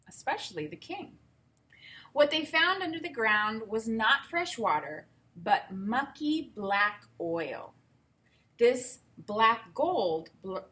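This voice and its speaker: A woman teacher reading a storybook aloud and pausing to explain it simply to young learners. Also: a little high, fairly bright tone. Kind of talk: read-aloud lesson with think-aloud commentary.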